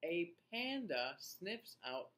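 A man in his forties reading a picture book aloud to young children in an expressive, teacher-like way, with playful asides. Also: sits high, drawn-out words. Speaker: children's read-aloud host. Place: small indoor room.

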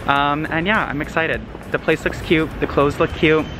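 A man's voice: a little high, fairly even intonation, moderate pace, music in the background, poor audio. A young man walking through city streets, chatting casually to a handheld camera. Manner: upbeat, chatty, frequent ums. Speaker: vlogger. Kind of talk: casual vlog narration. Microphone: camera held at arm's length.

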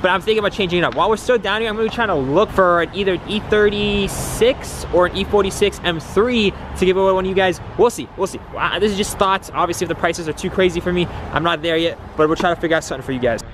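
A young man in his twenties, vlogging about cars to a handheld camera, first in a car and then outside a shop, talking fast and excitedly with casual slang. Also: high-pitched. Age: 20s. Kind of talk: casual vlog talk to camera. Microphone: handheld camera at arm's length. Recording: outdoor street noise behind.

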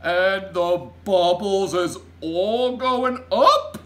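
A storyteller voicing a big, friendly, excitable male giant who exclaims in broken grammar and mangled words, from a children's storybook. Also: mid-range voice, drawn-out words, voice pushed up. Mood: scandalised, amused. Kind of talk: children's storybook read aloud.

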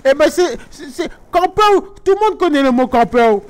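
Bernadette, a man doing a very high-voiced Guadeloupean mom. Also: animated, moderate pace. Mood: chatty. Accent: Guadeloupean French. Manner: rambling, earnest, mock-naive explanations. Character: chatty middle-aged Guadeloupean mother, naive about games. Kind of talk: comic gameplay commentary in character.

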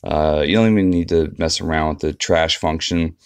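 A man's voice: a bass voice, fairly even intonation, normal speed, clear, resonant tone, no room sound. A man giving a casual, unscripted walkthrough of an app as a tutorial presenter.

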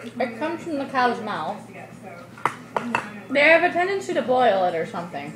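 A woman's voice: fairly high pitch, very animated, moderate pace, roomy sound, crowd noise, rough recording. A teenage girl making blunt, offhand remarks in casual chat, recorded on a laptop webcam in a home kitchen. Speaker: co-host.

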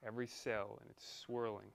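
A man leading a guided meditation in a soothing, deliberate manner, pausing between short phrases. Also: on the low side, little pitch movement, gravelly.

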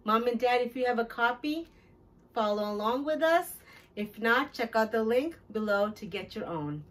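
A middle-aged woman hosting a children's storytime, reading a picture book aloud with deliberate, clear phrasing for young listeners, picked up from a little distance. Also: on the high side, lively intonation, a bit bright. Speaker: children's storytime host and teacher. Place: small decorated classroom-style storytime corner, indoors.

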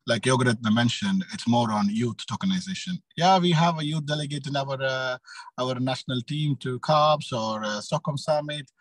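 A man in his thirties speaks as a panelist on a video call. His voice is rough-edged and a bit deep, and his phrasing is halting. The sound is dry, with little room around it. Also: no room sound, low-quality recording. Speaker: youth organization speaker. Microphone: video call, phone.

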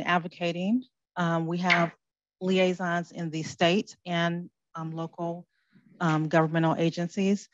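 A woman speaking on a panel, soft-spoken and hesitant with many pauses. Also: lowish voice, dry recording, highs cut off. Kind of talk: panel talk. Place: conference room.

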